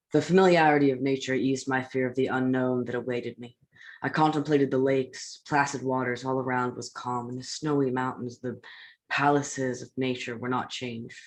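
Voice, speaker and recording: A man's voice: mid-range voice, natural voice. An ambitious young man of science, played by an actor in a staged reading. He speaks in lofty, poetic monologue with an earnest, declamatory manner and a mid-range voice.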